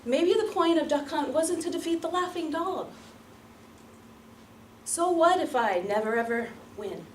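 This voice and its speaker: Female public speaker, theatrical storyteller with very lively intonation, picked up from a distance with light noise. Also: sits high. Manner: theatrical, emphatic, with deliberate repetition and pauses. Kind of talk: rehearsed dramatic storytelling speech to an audience. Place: room before an audience. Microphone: distant microphone. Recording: roomy, distant mic, light background noise.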